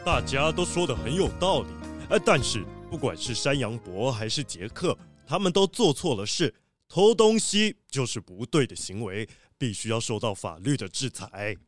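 A man's voice: medium pitch, lively intonation, moderate pace, faint music behind, lightly acted. A man voicing a stern but kindly big wolf police officer in a children's audio drama, firm and admonishing. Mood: firm, sympathetic.

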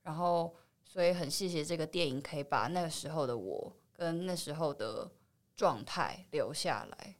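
Low-pitched Taiwanese woman, thoughtful. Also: normal speed. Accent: Taiwanese Mandarin. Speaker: film actress, interview guest. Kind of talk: reflective interview chat.

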